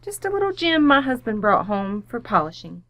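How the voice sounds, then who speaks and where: Wife, played by a woman: patient radio-comedy mother, a little high-voiced, courteous with a dry tease. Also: big pitch swings, normal speed, a bit bright, no echo. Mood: wry politeness. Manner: polite, soothing, dryly teasing. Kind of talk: old-time radio comedy acting.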